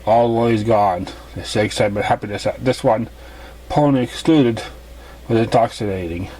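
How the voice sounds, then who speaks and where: A man's voice: fairly low pitch, mellow, poor audio. A man narrating a fan fiction story as an amateur reader, stumbling over words in an uneven read. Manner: stumbling, uneven reading with misreadings.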